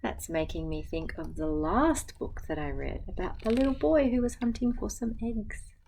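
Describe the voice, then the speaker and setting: An Australian woman, a teacher, reading a picture book aloud to children and chatting gently between pages. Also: mid-range voice, normal speed, gravelly, a little hiss or hum, rough recording. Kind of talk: storytime read-aloud with asides to children.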